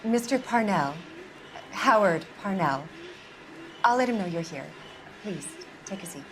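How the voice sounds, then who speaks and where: A prim 1940s secretary, curt, formal, cool. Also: female voice, medium pitch, lively intonation, unhurried, fairly bright tone. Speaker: factory secretary.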